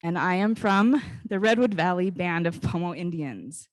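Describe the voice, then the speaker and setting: An American woman in her forties giving an informal public talk in a conversational, personal storytelling way, with frequent pauses. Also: lowish voice, expressive, no echo. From Northern California, United States. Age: 40s. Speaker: guest speaker, basket weaver and cultural educator. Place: live talk at a conference.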